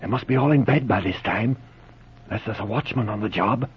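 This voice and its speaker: An actor playing a wary, loyal marshal, hushed and plain-spoken, in a 1940s radio adventure serial. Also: male voice, on the low side, a little fast, natural voice. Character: wary, loyal marshal, the inspector's male sidekick. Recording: muffled, noisy old radio recording.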